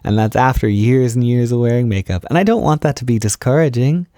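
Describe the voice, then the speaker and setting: A man playing a gentle, affectionate boyfriend in an intimate roleplay audio, speaking softly and soothingly, with unhurried reassurance. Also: medium pitch, no room sound, studio quality, lightly acted. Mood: encouraging, reassuring. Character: gentle, affectionate boyfriend comforting his insecure partner. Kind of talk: intimate roleplay audio, soft-spoken ASMR.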